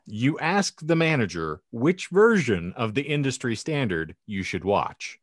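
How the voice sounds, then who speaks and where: A man's voice: mid-range voice, very animated, unhurried, dry recording, clean studio sound. A man hosting a podcast panel discussion, posing hypotheticals in elaborate, mock-formal phrasing. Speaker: podcast host.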